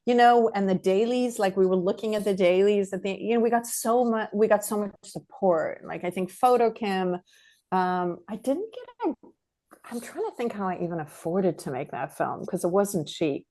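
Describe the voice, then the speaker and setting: A woman's voice: medium pitch, expressive, moderate pace, warm tone. A middle-aged woman from South Africa, a filmmaker guest chatting casually in an interview, rambling with many fillers and restarts.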